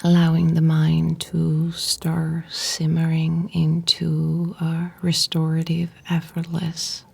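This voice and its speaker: Woman doing guided meditation, low husky whispery voice, super even and crackly. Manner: soothing, unhurried, pausing between short phrases. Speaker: yoga nidra guide.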